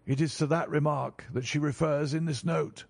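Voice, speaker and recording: A man's voice: on the high side, fairly even intonation, moderate pace, creaky, a little mellow, no echo. A man voicing a frantic old banker. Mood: grieving. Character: distraught elderly male banker, frantic and pleading.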